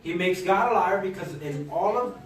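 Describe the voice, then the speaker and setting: A man with a high voice interprets a sermon phrase by phrase, sometimes stumbling and restarting. He sounds far from the mic, and the room around him rings with heavy echo. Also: lively intonation, measured pace. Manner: follows the preacher phrase by phrase, with some stumbles and restarts. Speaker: church interpreter. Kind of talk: live consecutive interpreting of a sermon. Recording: very echoey room, voice from a distance.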